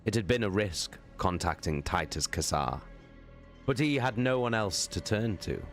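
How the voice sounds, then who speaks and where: A man reading science fiction prose aloud as dramatic narration, solemn and deliberate. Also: on the low side, lively intonation, soft background music. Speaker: audiobook-style reader, streamer.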